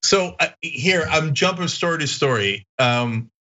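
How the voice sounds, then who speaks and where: Man raised in Turkey, lively storyteller. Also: a little high, unhurried, lo-fi sound. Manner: animated storytelling, laughs often. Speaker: podcast host. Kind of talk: casual chat.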